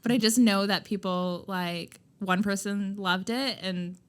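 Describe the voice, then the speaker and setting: A woman who founded a skincare brand, talking loud and emphatic as a guest in a casual interview. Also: medium pitch, steady tone, unhurried, clear, resonant tone. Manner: loud, emphatic, laughs easily. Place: Nova Scotia, Canada.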